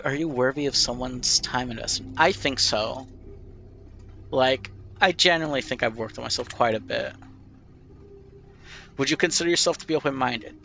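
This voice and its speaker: Young male gamer, nasal and bright, thinks aloud with rambling, self-deprecating answers. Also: medium pitch, gravelly, a little hiss or hum. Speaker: gamer playing a question game. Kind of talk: casual reflective commentary.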